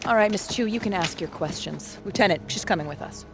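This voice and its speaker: Music sits behind a stern female paramilitary commander, played by an actress. She is curt and cold, giving orders with clipped authority in a mid-pitched voice, in video game style. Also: fast talker, natural voice. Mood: commanding, cold. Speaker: militia commander. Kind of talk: video game cutscene acting.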